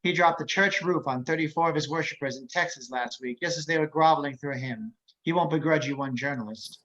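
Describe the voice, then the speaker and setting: A cultured, menacing male psychiatrist killer, acted by a man in a drama read over a video call. His voice sits a little high, and he taunts in measured phrases with theatrical pauses. The sound is dull and lo-fi. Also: fairly bright tone, natural voice. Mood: taunting.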